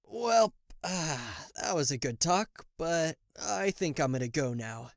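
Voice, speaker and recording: A man's voice: a little high, lively intonation, slow pace, gravelly, dry recording, clean studio sound, heavily acted. A man playing a cocky, easily flustered king of hell in an ASMR roleplay, sarcastic, indignant and theatrical, speaking to the listener. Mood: incredulous, mocking.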